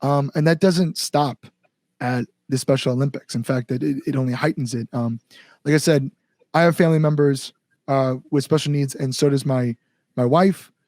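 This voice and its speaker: A man's voice: mid-range voice, steady tone, normal speed, a little mellow, no echo. A man chatting casually as an interview guest, a musician talking enthusiastically in loose, conversational phrases. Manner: enthusiastic, frequent "like" and "you know". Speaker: guest musician, band singer.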